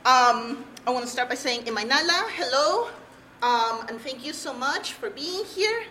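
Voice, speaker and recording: A young woman from Peru presents a workshop, telling her own story in a candid, earnest way and letting out light nervous laughs. Her voice sits a little high. She speaks to an audience in a room without a handheld mic, with a faint hum behind her. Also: lively intonation, unhurried, pushed and bright. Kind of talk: personal testimony at a workshop. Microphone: no handheld mic, room recorder.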